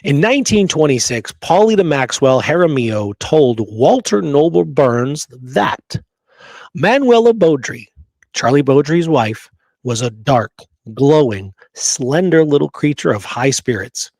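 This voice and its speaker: A man's voice: a little high, expressive, measured pace, darkish tone, dry recording. A man hosting a history show, presenting research emphatically, partly from prepared notes, often checking in with listeners. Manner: emphatic, checks in with rhetorical right and okay.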